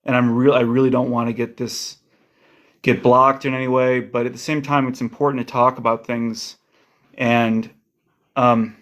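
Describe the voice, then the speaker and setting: Male livestream host, mid pitch, easygoing rambler. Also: flat delivery, moderate pace, a bit bright. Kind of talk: casual chat.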